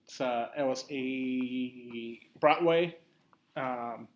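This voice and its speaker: A man's voice: medium pitch, very slow. A young man with a slight Mexican accent, co-hosting a casual podcast and explaining things at length with many fillers. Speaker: podcast co-host. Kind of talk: casual chat.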